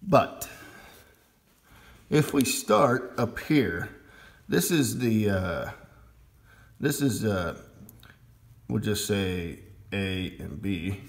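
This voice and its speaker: A man with a fairly low voice talks through a hands-on demonstration in an informal, unscripted way. His delivery is slow and halting, with a heavy creak running through it. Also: animated. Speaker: amateur radio hobbyist demonstrating gear.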